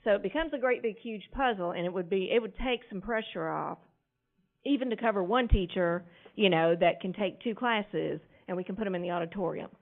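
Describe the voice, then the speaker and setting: Loud, frank woman principal. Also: mid-range voice, a little fast. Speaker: school principal. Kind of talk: speaking before a board meeting. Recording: tinny lo-fi meeting audio.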